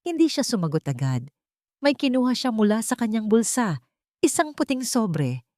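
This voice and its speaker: A woman narrating a first-person dramatic story in a measured, dramatic pace. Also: a little high, big pitch swings, warm tone, no echo, clean studio sound. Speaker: audiobook narrator.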